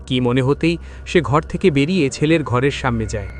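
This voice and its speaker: A man's voice: mid-range voice, normal speed, a little hiss or hum. A man narrating a scripted horror story in a dramatic storytelling style.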